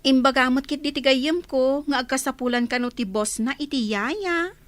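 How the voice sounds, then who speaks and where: A woman's voice: high-pitched, normal speed, dry recording, close to an everyday voice. A woman playing a friendly, chatty young housemaid at a mansion in a radio comedy drama.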